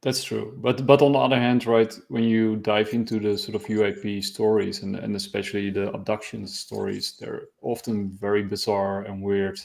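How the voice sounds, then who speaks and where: A man hosts a casual podcast chat in a gravelly voice. He is hesitant and often restarts his phrases. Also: on the low side, little pitch movement, normal speed, few pauses, darkish tone. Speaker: host.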